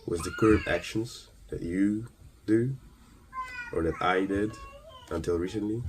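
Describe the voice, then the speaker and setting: A man from Ukraine delivers an earnest, emphatic motivational monologue, halting between phrases and posing rhetorical questions. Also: low-pitched, a little hiss or hum. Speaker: motivational speaker.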